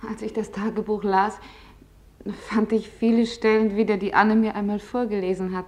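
A young woman played by an actress with a mid-pitched voice gives testimony in a radio drama. She sounds like a confidante, simple and intimate in her recollection. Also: level tone, normal speed, a little mellow, close to an everyday voice. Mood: tender, remembering. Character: young female office worker, confidante remembering a friend.

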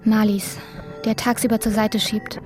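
Female narrator, medium pitch, literary radio drama, scripted reading, music behind. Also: little pitch movement, a little slow, darkish tone.

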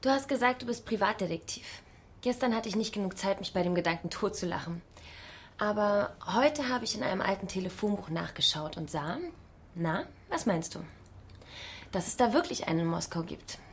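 A woman's voice: medium pitch, expressive, moderate pace, a little hiss or hum, lightly acted. An actress playing a plucky, stubborn young woman in her early twenties in a radio drama, cheeky and insistent. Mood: cheeky, determined.

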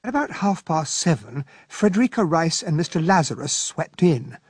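An actor playing a gentlemanly English captain who narrates a detective case in a radio mystery drama, measured and genteel. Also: male voice, fairly high pitch, sing-song, slightly rough, no echo, clean studio sound. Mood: genteel, observant.

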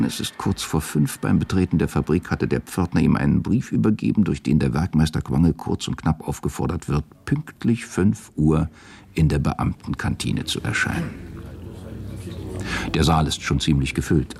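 A man narrating a literary radio drama in a measured, sober manner. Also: extremely low pitch, gravelly, darkish tone.

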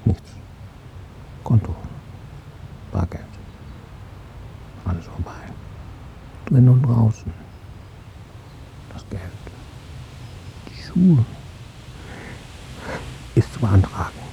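An older actor in a radio drama playing a weary, stubborn old psychiatric patient who speaks in terse, brooding fragments. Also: male voice, fairly low pitch, sing-song, very slow, vocal fry, long pauses, mellow, dull audio, slight background noise, exaggerated voice. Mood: agitated, defiant. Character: weary, stubborn old male psychiatric patient, former East German dissident.

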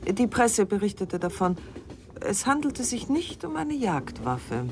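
Middle-aged wife in a radio play, composed but prying, mid voice w lively intonation, background noise. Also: female voice, mid-range voice, unhurried. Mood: probing. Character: probing, composed, elegant middle-aged wife with hidden secrets. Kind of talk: German radio drama acting.

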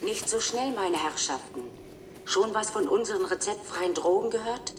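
An actress playing an automated street advertising machine in a radio play, delivering a polite, persistent sales pitch. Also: female voice, normal speed, lightly acted. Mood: coaxing. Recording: distant, noisy radio drama sound.

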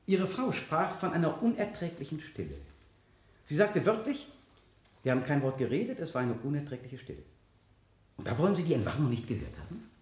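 A man's voice: fairly high pitch, sing-song, fairly quick, darkish tone. An actor playing an insistent male prosecutor, questioning in a pointed, theatrical way in a German radio play. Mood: accusing, insistent. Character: insistent male prosecutor cross-examining a defendant. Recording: echoey studio courtroom, older radio production.

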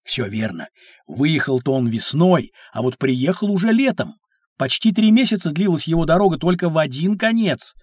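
An actor playing a knowledgeable uncle, explaining history patiently and emphatically in an educational audio drama. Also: male voice, a little high, a little mellow, husky, no room sound, clean studio sound.

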